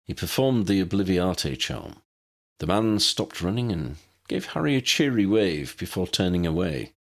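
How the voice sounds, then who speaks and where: Animated, creaky low-voiced male storyteller. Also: moderate pace. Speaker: audiobook narrator. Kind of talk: scripted story narration.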